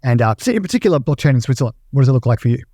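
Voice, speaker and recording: A man's voice: mid-range voice, sing-song, rapid-fire, dark tone, no room sound, studio quality. A man hosting a podcast, reading a scripted intro and asking interview questions. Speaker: podcast host. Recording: faint music under the voice.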